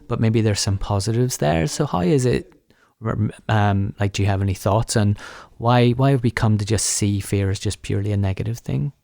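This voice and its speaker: Male podcast host, slightly low pitch, dry sound, casual interviewing with tentative, meandering questions. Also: creaky, mellow, no room sound. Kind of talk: conversational interviewing.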